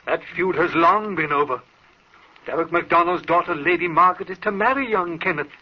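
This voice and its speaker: An actor playing a devoted, anxious servant in an old-time radio drama, earnest and emotional. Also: male voice, high-pitched, brisk. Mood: earnest, distressed. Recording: muffled, hissy old radio recording.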